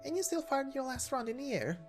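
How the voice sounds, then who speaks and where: A man voicing a lively, teasing young female knight in a game story reading, with a bouncy, playful, breezy manner. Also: very high voice, fairly quick, soft background music, voice pushed up, full character voice.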